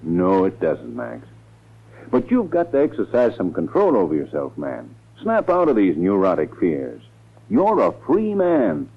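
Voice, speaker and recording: A commanding, scheming lawyer in an old radio drama, heard through a thin, phone-like recording. His husky voice swings in pitch as he presses his point. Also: huge pitch swings, a little slow, exaggerated voice. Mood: firm urging. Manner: firm, insistent, commanding. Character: scheming, authoritative lawyer urging and pressuring others. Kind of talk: 1940s radio drama acting.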